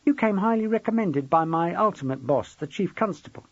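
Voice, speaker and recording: A man voicing a polite, easily rattled young woman police detective in an audiobook, with a halting delivery. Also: high-pitched, lively intonation, dry recording, lo-fi sound, pitched above the performer's own voice. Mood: politely awkward. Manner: hesitant, halting.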